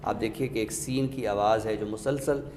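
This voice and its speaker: A man with a Pakistani accent giving a deliberate, didactic explanation in a literary discussion, pausing for emphasis. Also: fairly low pitch, steady tone, darkish tone. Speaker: literary scholar and guest speaker. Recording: echoey reverberant room with background noise.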